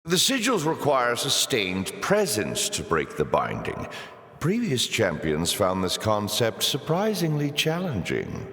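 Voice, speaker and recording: Sardonic demon overlord, game villain, slow deliberate pace, wildly expressive pitch, pompous, dry sarcasm, echoing hall. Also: male voice, gravelly, exaggerated voice. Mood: wry, haughty. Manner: pompous, dry sarcasm with drawn-out theatrical delivery. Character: sardonic, theatrical demon lord taunting champions in his arenas. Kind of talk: scripted video game character voice lines. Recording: echoey hall with long reverb.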